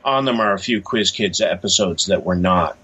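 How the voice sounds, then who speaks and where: A man's voice: sits low, moderate pace, fairly bright tone, slight background noise. A middle-aged man answering interview questions as an author, in a matter-of-fact, informative way. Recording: dull, muffled lo-fi line.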